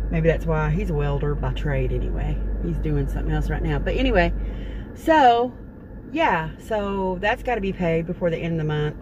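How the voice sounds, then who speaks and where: A middle-aged woman, chatting casually and rambling with lots of fillers to her phone camera in a parked car. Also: on the low side. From Texas. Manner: chatty, meandering, folksy with many fillers. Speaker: vlogger. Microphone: phone camera at close range. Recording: car interior with noticeable background noise.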